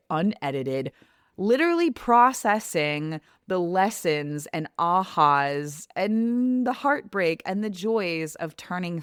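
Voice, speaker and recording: Low-voiced woman, earnest podcast host. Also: dry recording, studio quality. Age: 30s. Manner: earnest, reflective, speaks straight to the listener. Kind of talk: heartfelt podcast monologue.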